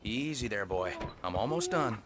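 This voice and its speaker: A man voices a friendly cabin-renting ranger for a video game. He sounds folksy and helpful with a lively, varied pitch, over faint background noise. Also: normal speed, lightly acted. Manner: folksy, obliging.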